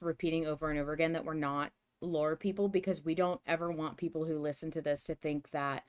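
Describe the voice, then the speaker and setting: A woman co-hosting a podcast in casual chat. She teases her co-host playfully, swears casually and talks things through earnestly. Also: sits low.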